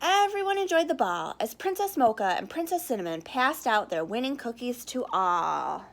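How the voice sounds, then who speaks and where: Woman, children's read-aloud, high pitch, lively intonation, expressive narration. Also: moderate pace, gravelly. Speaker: story reader.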